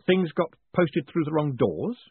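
A man voicing a brisk, cocky young conman turned postmaster who speaks firmly and breezily. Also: fairly high pitch, sing-song, husky, no room sound, pitched above the performer's own voice, heavily acted. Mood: breezy. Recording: tinny phone-like sound, dry.

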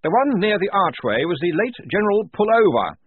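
A man's voice: sits high, very animated, fairly quick, husky, dry recording, phone-quality sound, voice pushed up, full character voice. A man voicing an eager, pompous, oblivious young army lieutenant in an audiobook story. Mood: informative.

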